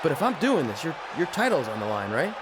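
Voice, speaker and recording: An actor playing an easygoing, cheeky rookie wrestler, talking casually and affably in a video game scene. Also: male voice, fairly high pitch, wildly swooping pitch, fast talker. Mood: nonchalant. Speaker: young challenger wrestler. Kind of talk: conversational in-ring dialogue in a video game. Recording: noisy arena with crowd behind.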